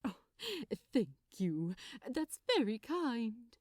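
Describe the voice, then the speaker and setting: Storybook role for a woman: a courteous, soft and prim gentleman angel, slightly smug, measured pace with expressive intonation. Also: fairly high pitch, no room sound, clean studio sound, exaggerated voice. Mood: kindly, polite.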